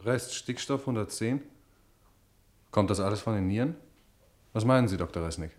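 An actor playing a businesslike doctor in a German radio drama, questioning and matter-of-fact. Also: male voice, fairly low pitch, animated, moderate pace, darkish tone, lightly acted. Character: businesslike male doctor consulting a colleague.

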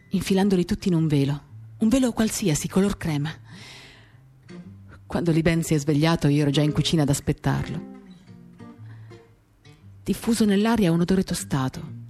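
A woman giving a measured, intimate dramatic reading of first-person literary narration in short, paused phrases, accompanied by acoustic guitar. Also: low-pitched, mellow. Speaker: reader of a novel.

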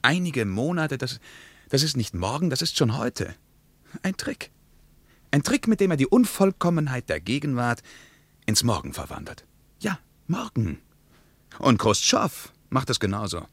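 An actor playing an argumentative, ironic intellectual in a German radio play, speaking emphatically with rhetorical pauses. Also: male voice, medium pitch, wildly swooping pitch, a bit bright, dry recording, heavily acted. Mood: emphatic, ironic. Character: male traveller, argumentative, ironic intellectual debating politics.

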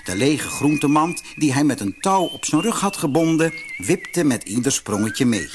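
A man reading a children's fairy tale as scripted narration, in an expressive, measured storybook manner. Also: a little high, background noise.

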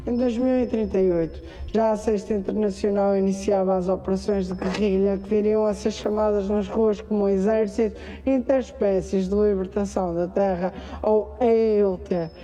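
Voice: mid-range voice, steady tone, normal speed, mellow, natural voice, poor audio. A performer with a European Portuguese accent playing a solemn narrator of a dystopian future in a radio drama. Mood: storytelling.

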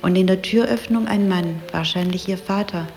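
A woman with a slightly low voice retells a story in measured phrases. Her delivery is deliberate and narrative. Music is clearly audible behind her. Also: a little mellow.

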